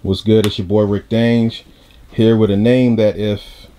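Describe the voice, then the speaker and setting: A man's voice: lowish voice, flat delivery, measured pace, mellow. A man hosting a casual hip-hop interview, speaking informally with plenty of slang. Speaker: interviewer, host.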